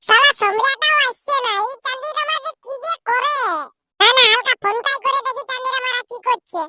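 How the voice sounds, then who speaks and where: A performer voicing a brash, slangy young village lad in a comedy cartoon, with a Purulia accent and a squeaky pitched-up voice. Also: fairly quick. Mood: brash, bantering. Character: rowdy young village lads squabbling and teasing, cartoon. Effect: pitched-up voice filter. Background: loud music.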